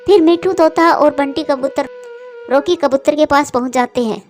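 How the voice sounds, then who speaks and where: A woman's voice: high voice, normal speed, soft background music. A woman giving scripted narration for a children's cartoon story.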